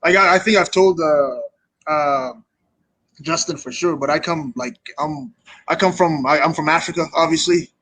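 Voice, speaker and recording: A young man from Kenya with a fairly high voice, talking as a guest in a casual interview. He is earnest and informal, and the sound is close and dry. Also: moderate pace, fairly bright tone, dry recording, poor audio. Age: young adult. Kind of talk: casual chat.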